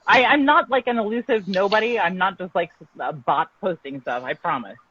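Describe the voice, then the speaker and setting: A woman's voice: mid-range voice, fairly quick, fairly bright tone, husky, no echo, highs cut off. A woman journalist guest on an online show, outspoken and emphatic.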